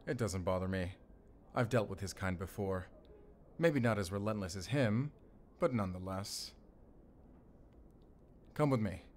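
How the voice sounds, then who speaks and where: An actor playing a composed, dryly joking German wolf hunter and spy in an audio roleplay, with a German accent and a measured, formal manner. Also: male voice, lowish voice, animated, a little hiss or hum. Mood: calm, confiding. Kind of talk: cinematic audio roleplay acting, speaking to the listener.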